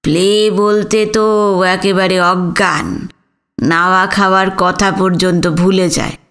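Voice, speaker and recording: A genial elderly gentleman put on by a woman narrator in a storybook reading. He teases gently with amusement, speaking low and very unhurriedly. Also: steady tone, slow pace. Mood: amused teasing. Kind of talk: audiobook character dialogue.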